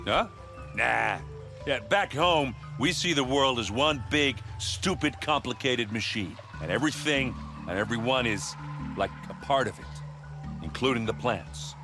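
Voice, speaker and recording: A man voicing a brash, boastful but good-natured sidekick in an animated game, talking in a casual, folksy way. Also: mid-range voice, measured pace, over music. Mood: grumbling. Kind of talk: animated video game cutscene acting.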